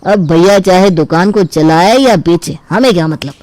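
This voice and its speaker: A woman's voice: on the low side, moderate pace, a little mellow, no room sound, close to an everyday voice. A woman voicing a self-interested younger brother who argues and complains in a cartoon family story. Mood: dismissive. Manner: argumentative, complaining. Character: self-interested younger brother demanding his inheritance share. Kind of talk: acted dialogue in a Hindi cartoon family story.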